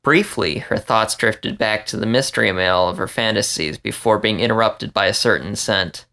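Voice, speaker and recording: Creaky-voiced man reading a story. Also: lowish voice, moderate pace, bright, forceful tone. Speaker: story reader. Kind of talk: scripted narration, audiobook-style storytelling.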